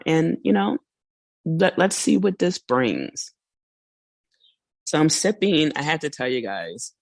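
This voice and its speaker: A woman hosting a casual solo podcast, chatty and a little hoarse, laughing now and then and acting out small quoted asides. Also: low voice, no room sound. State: slightly hoarse. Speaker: podcast host.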